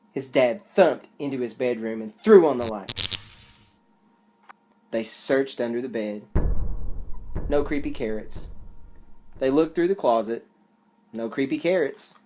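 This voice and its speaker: Unhurried dramatic picture-book reader, suspenseful delivery, mid-pitched voice, noisy recording. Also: darkish tone. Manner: theatrical, with drawn-out suspenseful phrasing. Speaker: storyteller reading a picture book aloud. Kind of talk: dramatic children's story reading. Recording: clearly noisy background.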